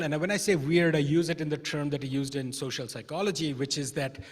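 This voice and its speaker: A man's slightly high voice rings through a reverberant hall. His Indian accent carries some American influence, and he lectures informally with a wry touch, like a keynote speaker talking off the cuff. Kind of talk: conference keynote, conversational lecture. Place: large indoor hall, conference keynote.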